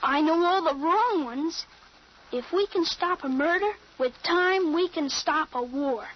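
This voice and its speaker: A woman's voice: very high-pitched, measured pace. An actress playing an earnest, assured thirteen-year-old boy with a grown soldier's mind in an old-time radio drama. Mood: insistent, sure. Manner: assured, insistent, explanatory. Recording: muffled, noisy old radio recording.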